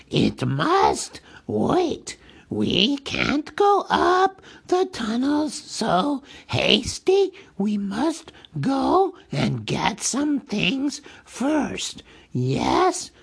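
Slimy scheming cave creature: shrill, hissing and whining, slow and strained. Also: male voice, vocal fry, breathy edge, full character voice. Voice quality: hissing, whiny, high and strained.

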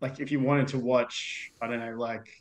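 A man's voice: mid-range voice, flat delivery, normal speed. A man in his thirties with an Australian accent, a podcast guest chatting casually in a meandering way, with lots of fillers. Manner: meandering, full of fillers and restarts. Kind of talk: casual chat.

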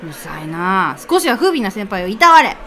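A woman's voice: mid-range voice, big pitch swings, brisk, bright, forceful tone, faint music behind, voice pushed down. A woman playing a drunk, heartbroken woman in her thirties, a brash senior colleague with blunt, dramatic grumbling, in an amateur radio drama. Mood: drunk, indignant.

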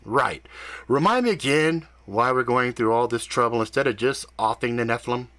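A man's voice: medium pitch, lively intonation, normal speed, pushed and bright. A man voicing a cocky, joking male demon hunter who uses British slang, speaking in a breezy manner.

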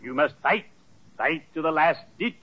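An actor playing a ceremonious, morbidly genial undertaker in an old radio sitcom, speaking in a solemn, courteous, formal way. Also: male voice, a little high, expressive, moderate pace, breathy edge. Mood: solemnly genial. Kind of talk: 1940s radio sitcom acting. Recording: very noisy, muffled old radio recording.

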